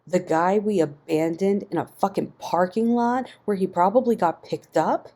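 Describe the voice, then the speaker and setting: A man's voice: sits high, normal speed, darkish tone, voice pushed up. A woman voicing a desperate, guilt-ridden man who pleads with choked intensity in a narrated story.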